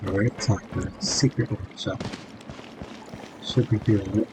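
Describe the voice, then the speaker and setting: A man's voice: fairly low pitch, fairly even intonation, a little slow, noisy background. A man giving casual, laid-back game commentary, thinking aloud as he plays. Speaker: gamer, video creator. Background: loud game music.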